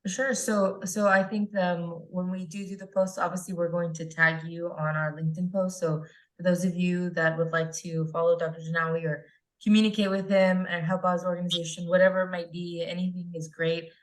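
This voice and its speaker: A woman's voice: fairly low pitch, little pitch movement, a little fast, rough recording. A woman hosting a webinar interview over a video call, talking in an upbeat, chatty way with lots of fillers. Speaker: podcast and webinar host.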